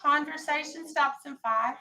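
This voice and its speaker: A woman's voice: sits high, a little slow, bright, forceful tone. An American woman teaching a vocabulary lesson to young children in a classroom, instructing and prompting with questions and directions. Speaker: elementary school teacher.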